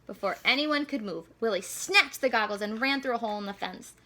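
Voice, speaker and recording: A woman narrates a children's book in a bright, slightly high voice. Her delivery is expressive and animated, shaped for young listeners. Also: fairly quick, rough recording. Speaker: school staff reader.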